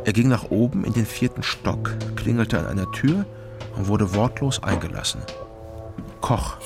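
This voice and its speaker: A low male voice plays a world-weary private detective in a radio crime drama. He narrates dryly and matter-of-factly, noting details as an observer. Music runs clearly underneath. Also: moderate pace. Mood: dry, observant. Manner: dry, matter-of-fact. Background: music bed.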